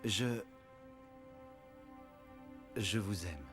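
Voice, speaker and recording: An actor voicing a gruff, grumpy male ogre in an animated film dub, speaking haltingly. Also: sits low. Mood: shy. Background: film score music.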